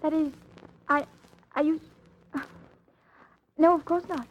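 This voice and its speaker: A woman's voice: high voice, steady tone, slow, muffled recording. A woman playing a nervous, distraught grown daughter in a suspense radio drama, timid and faltering. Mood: flustered. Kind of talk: old-time suspense radio drama acting.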